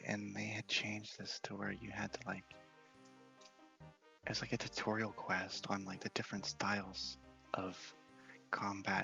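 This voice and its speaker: A man gives casual, hushed ASMR game commentary, often slipping close to a whisper. His voice is creaky and middling in pitch, a little muffled, with music behind it. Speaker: host of a gaming ASMR series.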